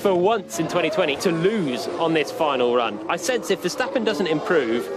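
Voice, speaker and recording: A man's voice: a little high, animated, normal speed, loud music behind the voice. A man co-commentating live on a car race in an analytical, explanatory way.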